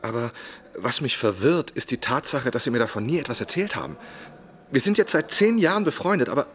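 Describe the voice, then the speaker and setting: An actor playing an earnest, troubled younger writer and loyal friend in a German radio drama. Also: male voice, medium pitch, very animated, fairly quick, fairly bright tone. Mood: hurt, hesitant.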